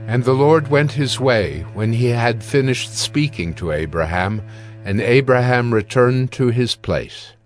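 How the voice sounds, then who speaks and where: A man's voice: medium pitch, lively intonation, measured pace, faint music behind. A man narrating scripture as a scripted dramatized reading.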